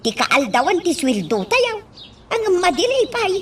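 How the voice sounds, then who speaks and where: A woman plays a coy young household worker in a radio drama. The voice is high and lively, heard a little away from the microphone. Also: unhurried, distant mic, a little hiss or hum, heavily acted. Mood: coy, pleading. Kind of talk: Ilocano radio comedy drama acting.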